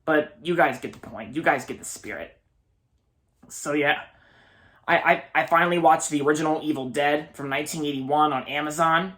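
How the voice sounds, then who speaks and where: A man's voice: a little high, normal speed, sharp tone. A man in his twenties giving a casual, enthusiastic movie review, often repeating words and restarting his sentences. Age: 20s. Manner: enthusiastic, gushing.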